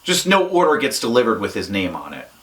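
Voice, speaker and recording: A man playing a gruff, stubborn police negotiator boss with a curt, dismissive manner in a comedy sketch. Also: fairly low pitch, wildly swooping pitch, a little fast, a bit bright.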